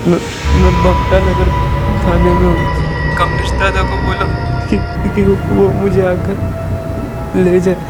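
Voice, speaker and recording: Halting, frightened, beaten man. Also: sits high, completely flat delivery. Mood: weak, frightened. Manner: halting, stammering, pleading. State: weak, scared. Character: frightened, battered male labourer pleading for help. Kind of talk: TV crime drama acting. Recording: loud background music.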